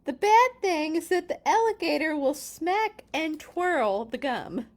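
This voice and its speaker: A woman reading a children's storybook aloud in a playful, expressive way, with casual chatty asides. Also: high voice, a little slow. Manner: playful, expressive, exclaims and comments while reading.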